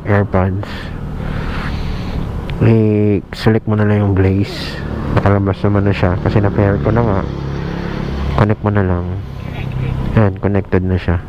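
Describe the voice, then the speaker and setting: A man's voice: fairly low pitch, flat delivery, a little slow, long pauses. A man with a Filipino accent giving a casual, informal product review as a motorcycle vlogger, talking outdoors into a helmet headset mic while riding. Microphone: Bluetooth helmet headset mic. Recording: muffled helmet intercom mic, road noise.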